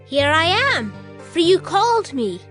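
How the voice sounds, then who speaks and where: A performer playing a young, obedient boy servant in a dramatized scripture story, earnest and unhurried. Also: high-pitched, very animated, a bit bright, full character voice. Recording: faint music behind the voice.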